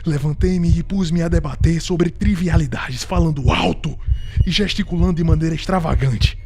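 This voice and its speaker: Gothic audio drama murderer: Brazilian, highish male voice, unhinged and theatrical, music underneath. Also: moderate pace, gravelly. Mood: uneasy, agitated. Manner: theatrical, intense, swinging from hushed to shouting. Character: guilt-ridden murderer, unhinged, increasingly frantic, confessing.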